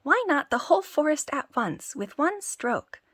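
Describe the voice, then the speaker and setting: A woman's voice: high voice, very animated, unhurried, fairly bright tone, dry recording, clean studio sound, voice pushed up, exaggerated voice. A woman voicing a small, boastful male tailor with an eager, bragging voice.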